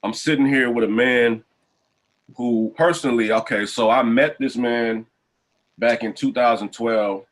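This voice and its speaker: A man hosting a casual podcast interview over a video call, talking in relaxed slang. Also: medium pitch, measured pace, low-quality recording. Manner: laid-back, relaxed slang. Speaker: podcast host.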